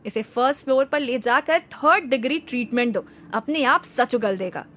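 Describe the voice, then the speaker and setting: A woman voicing an enraged, accusing man who shouts threats and mixes English into Hindi. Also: very high-pitched, lively intonation, normal speed, a little hiss or hum, full character voice. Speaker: figure in a hospital thriller story.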